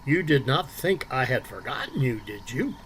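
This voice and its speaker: An actor playing Bob, a devoted but menacing husband, in a calm, unhurried radio drama performance. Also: male voice, mid-range voice, slight background noise.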